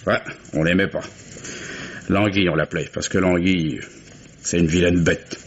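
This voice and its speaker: Actor voicing a reluctant old fisherman witness, very deep voice, grumbling and folksy, muffled old radio sound with faint hiss. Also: fairly even intonation, normal speed, lightly acted. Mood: gruff. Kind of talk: 1950s French radio drama acting.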